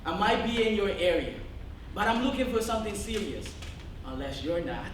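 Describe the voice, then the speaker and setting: A man's voice: high voice, expressive, normal speed, very noisy. A young man in a college improv show, rejecting contestants with playful jokes. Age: young adult. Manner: joking, playful comebacks. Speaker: improv player in a dating game. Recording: echoey hall, distant mic, loud crowd.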